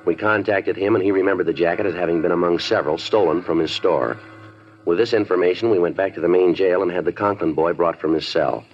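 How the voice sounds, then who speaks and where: A terse, deep-voiced police detective. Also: male voice, fast talker. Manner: flat, clipped, matter-of-fact. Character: terse male police detective, calm and dry. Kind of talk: 1950s radio crime drama narration. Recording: dull lo-fi 1950s radio recording with music.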